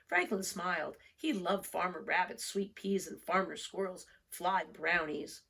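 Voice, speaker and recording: A woman reading a picture book aloud for young children, expressive and deliberate, with storytelling emphasis. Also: on the low side, creaky, husky. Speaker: storybook reader.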